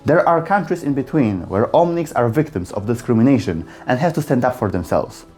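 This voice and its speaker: A man's voice: mid-range voice, lively intonation, brisk, a little mellow, faint music behind. A man reading scripted narration as the narrator of an explainer video.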